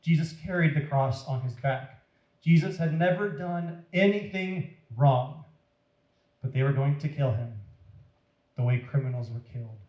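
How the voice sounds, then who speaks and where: A man reading a story aloud to children in a deliberate, dramatic, expressive way. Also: medium pitch, dull audio. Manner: deliberate, dramatic, addressing young listeners. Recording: roomy echo.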